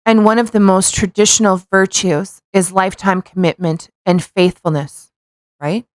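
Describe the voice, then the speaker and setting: Woman podcaster reading out loud n poking fun, playful, mid pitch, super dry recording. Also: steady tone, moderate pace, no room sound. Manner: playful, mocking, profane. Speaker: podcast co-host. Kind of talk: casual dramatic reading with joking asides.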